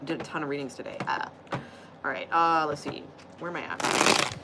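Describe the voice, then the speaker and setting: A woman's voice: sits low, very animated, normal speed, very noisy. A woman doing a casual, rambling live tarot reading, laughing often and losing her voice. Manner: rambling, chatty, laughs often, frequent fillers. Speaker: tarot reader.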